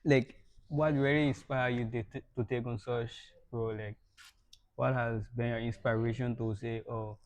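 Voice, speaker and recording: A man's voice: medium pitch, fairly even intonation, normal speed, a little mellow, no echo. A young man hosting a podcast interview in a casual way. He laughs often and repeats words when he starts a sentence. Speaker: podcast host.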